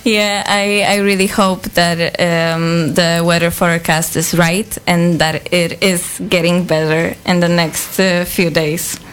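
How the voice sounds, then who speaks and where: A woman's voice: fairly low pitch, level tone, unhurried, hardly any pauses. A woman hosting a talk show, moving between a prepared introduction and casual chat. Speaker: radio show host. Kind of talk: scripted introduction and chatty hosting.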